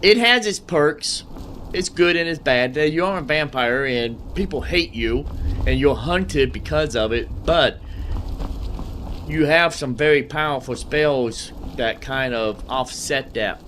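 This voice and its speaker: Slow-talking man gaming over background noise. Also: on the high side, fairly bright tone. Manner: folksy, rambling, explanatory, talking to himself and game characters. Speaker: gaming host. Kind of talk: casual gameplay commentary.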